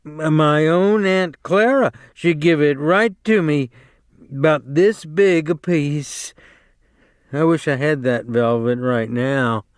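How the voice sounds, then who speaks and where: A big, slow-witted man with a childlike mind, as a man reading the story puts him on. He talks in a simple, pleading drawl, pitched above the reader's own voice. The recording is dry and close, like a storybook reading. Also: dry recording. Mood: wistful.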